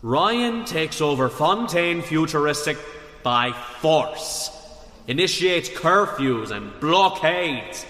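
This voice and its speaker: A man's voice: a little high, animated, slow pace, fairly bright tone. A man playing a rousing, theatrical Irish working-class rebel orator in a radio drama. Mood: indignant. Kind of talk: radio drama political speech. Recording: echoey hall with long reverb.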